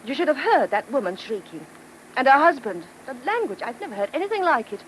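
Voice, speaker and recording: Swooping, indignant English wife. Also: female voice, high voice, big pitch swings, brisk, a bit bright, low-quality recording. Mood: indignant, complaining. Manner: indignant, complaining, emphatic. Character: aggrieved, nagging wife of a police inspector. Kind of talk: radio play acting. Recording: hissy old radio drama recording.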